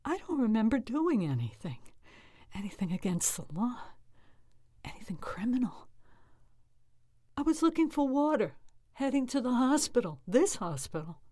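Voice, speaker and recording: A woman reading a short story in the first person as a weathered, frightened, bitter psychiatric patient in custody, with emotive delivery that turns hushed. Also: a little high, no room sound, clean studio sound, voice pushed up. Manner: emotive, hushed at fearful moments.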